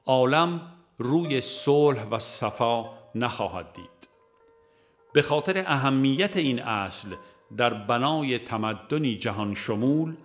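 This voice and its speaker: A man narrates a religious audiobook in a measured, formal reading manner. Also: mid-range voice. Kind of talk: scripted narration of a religious book.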